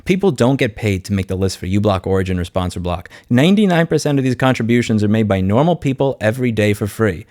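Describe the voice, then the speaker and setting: Low-voiced, blunt man vlogging. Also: animated, quick pace, hardly any pauses, darkish tone, dry recording, clean studio sound. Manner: blunt, sardonic, informal with swearing. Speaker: YouTube host and consumer rights advocate. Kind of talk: unscripted vlog monologue, screen walkthrough.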